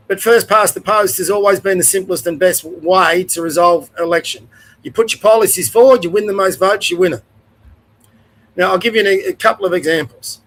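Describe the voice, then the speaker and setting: High-voiced older Australian man ranting, nasal. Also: fairly bright tone, poor audio. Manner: blunt, rambling, swears, restarts often. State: nasal from a cold, sniffly. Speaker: host. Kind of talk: opinion rant to camera.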